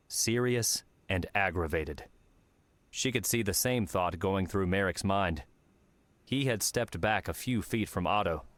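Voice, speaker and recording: A man's voice: sits low, no echo. A man reading scripted story narration as an audiobook narrator.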